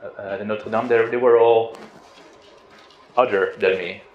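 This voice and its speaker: Low-voiced man, roomy lo-fi sound. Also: a little slow. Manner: relaxed, hesitant, with restarts and soft laughs. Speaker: spiritual teacher. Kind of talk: informal satsang talk. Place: outdoors on a river boat. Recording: roomy, dull lo-fi sound with faint noise.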